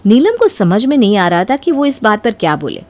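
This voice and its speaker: A woman's voice: mid-range voice, big pitch swings, a little fast, darkish tone. A woman narrator telling a scripted family story in a storytelling style.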